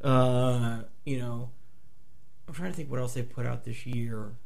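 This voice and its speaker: Gravelly hoarse man ranting about movies. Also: mid-range voice, measured pace. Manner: excitable, swears often, many restarts. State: hoarse from a flu; a few bourbons in. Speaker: podcast host. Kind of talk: casual movie talk.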